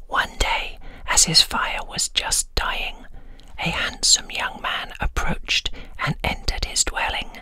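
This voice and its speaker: A woman's voice: extremely high pitch, sharp tone, poor audio. A British woman narrating a scripted ASMR bedtime story close to the microphone. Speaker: voiceover artist, storyteller. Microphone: close, dry microphone.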